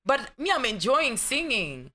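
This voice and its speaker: Bright-voiced Kenyan woman telling stories. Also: fairly high pitch, unhurried. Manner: animated, mixes in Swahili words, acts out voices in her stories. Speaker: actress guest. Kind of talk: casual storytelling interview.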